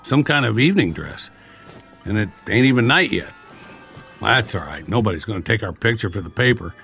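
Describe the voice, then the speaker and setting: An actor playing a chatty, wry American soldier in a radio drama, talking in a folksy, rambling, joking way. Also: male voice, lowish voice, sing-song, a little fast, bright, forceful tone, soft background music, exaggerated voice. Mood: coaxing, awkward. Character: chatty, wry, friendly American soldier, lonely war survivor.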